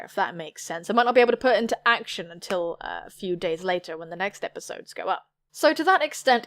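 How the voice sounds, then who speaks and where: A young woman hosting a gaming video with playful, animated, cheeky commentary. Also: fairly high pitch. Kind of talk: playful let's-play commentary. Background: music.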